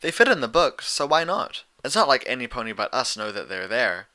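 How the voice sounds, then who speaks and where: A man's voice: mid-range voice, very animated, brisk, sharp tone. A man voicing a bookish, fussy young female unicorn pony from a cartoon tale, explaining things in a hesitant way. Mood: dry, exasperated. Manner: hesitant, filler-laden explaining.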